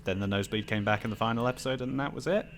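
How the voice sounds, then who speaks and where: A man's voice: on the low side, racing, fairly bright tone, slight background noise. A man hosting a question-and-answer discussion in a casual, conversational style. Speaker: host of a Q&A discussion. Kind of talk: casual chat. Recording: music playing behind the voice.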